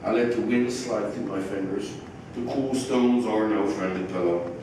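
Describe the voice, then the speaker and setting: A middle-aged man reading his poetry aloud in a measured, deliberate way. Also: fairly low pitch. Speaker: poet. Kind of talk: poetry reading with brief spoken introduction. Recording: echoey, distant microphone, noisy room.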